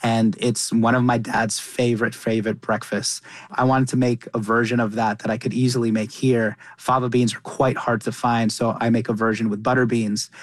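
Young guy from qatar, chef in an interview, flat steady voice, dry close sound, lots of restarts. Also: mid-range voice, normal speed, no echo. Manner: frequent restarts and repeated words. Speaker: chef and cookbook author. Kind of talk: conversational interview.

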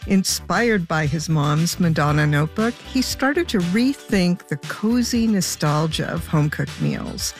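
A woman's voice: on the low side, animated, measured pace, hardly any pauses, faint music behind. A woman presenting and interviewing as the host of a show, moving between prepared lines and conversation. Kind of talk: scripted introduction and conversational interviewing.

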